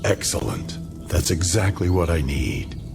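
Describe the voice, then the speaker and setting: An actor playing a grave, courteous male traveler and healer in a video game, speaking in formal, measured phrases. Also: sits low, big pitch swings, gravelly, over music, full character voice. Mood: focused, formal. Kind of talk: video game cutscene acting.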